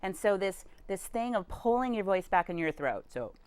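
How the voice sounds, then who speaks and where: A woman's voice: medium pitch, very animated, moderate pace, a little mellow, clean tone. A woman hosting a TV studio interview, leading her guest with affirming questions about the voice. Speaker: TV show host.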